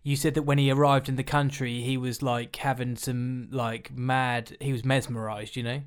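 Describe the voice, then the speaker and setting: A man's voice: mid-range voice, level tone, moderate pace, clear, resonant tone. A young man hosting a podcast, asking questions with enthusiasm and plenty of "you know" and "like". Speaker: podcast host. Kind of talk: casual interview.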